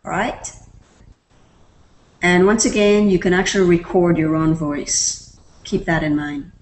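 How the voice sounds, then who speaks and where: Low-voiced woman walking through software. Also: measured pace. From Canada. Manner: relaxed, step-by-step explaining with fillers. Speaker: online tutorial presenter. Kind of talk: screen-recorded software tutorial.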